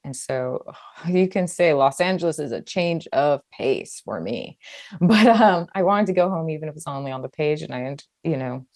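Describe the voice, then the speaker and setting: A woman from Hawaii with a strikingly deep voice speaks as an author being interviewed. Her delivery is conversational, expressive and chatty, and the sound is dry, with very little room in it. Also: no room sound. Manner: chatty, expressive, laughs easily. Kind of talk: casual conversational interview about own writing.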